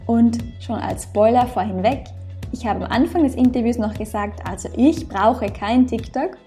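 A woman from Austria welcoming listeners and her guest to a podcast. She speaks with an upbeat, enthusiastic lilt in a slightly high voice. Music runs clearly under her talk. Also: moderate pace, dark tone. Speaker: podcast host. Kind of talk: podcast interview.

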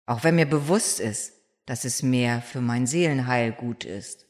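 An actor voicing a brooding, haunted vampire who confesses their story in a halting, dramatic monologue for an audio drama. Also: mid-range voice, lightly acted. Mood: confessional.

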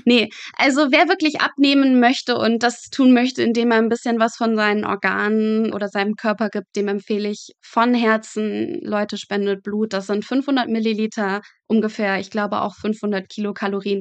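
A woman's voice: medium pitch, flat delivery, moderate pace, hardly any pauses, no room sound. A woman in her twenties, a medical student being interviewed, telling vivid stories in a frank, matter-of-fact conversational way. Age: 20s.

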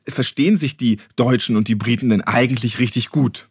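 A man interviewing a guest, asking quick follow-up questions and slipping in jokes. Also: mid-range voice, slightly rough, no echo, clean studio sound.

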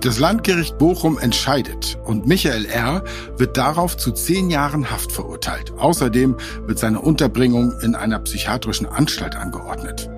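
A man's voice: mid-range voice, expressive, normal speed, music in the background. A man hosting a true-crime podcast, talking through a court case in a conversational, slightly wry way. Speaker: podcast host.

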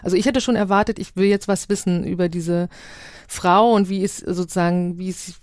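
A woman's voice: lowish voice, fairly even intonation, a little fast, darkish tone, dry recording, studio quality. A woman talking analytically in a podcast discussion.

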